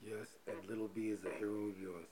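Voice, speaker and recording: A man hosting a podcast in loose, profane casual chat, getting loud and animated when excited. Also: on the low side, brisk, crowd noise. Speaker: podcast host.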